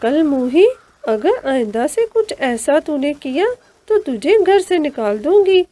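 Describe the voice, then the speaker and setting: A woman's voice: high voice, big pitch swings, takes it slowly, dark tone, pitched above the performer's own voice, exaggerated voice. A woman voicing a cruel, bullying stepmother bird who scolds harshly in a cartoon story. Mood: angry, scolding.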